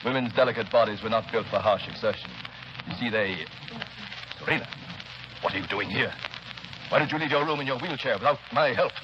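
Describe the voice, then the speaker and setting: An actor playing a sinister, controlling rich man who talks smoothly and insists on taking charge, in 1930s radio drama style. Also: mid-range voice, fairly quick, pauses often, close to an everyday voice. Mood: menacing. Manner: smooth, domineering, overly solicitous. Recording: muffled old radio broadcast with hiss.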